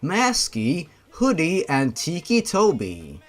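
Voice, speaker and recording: A man's voice: a little high, huge pitch swings, slow pace, full character voice. A man voicing a stern, commanding father with a formal, announcing manner. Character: commanding father introducing his henchmen, stern.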